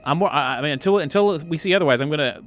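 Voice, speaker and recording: A man playing a tabletop role-playing game, chatting casually and thinking his choices through out loud. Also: fairly high pitch, rapid-fire, soft background music. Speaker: tabletop role-play player.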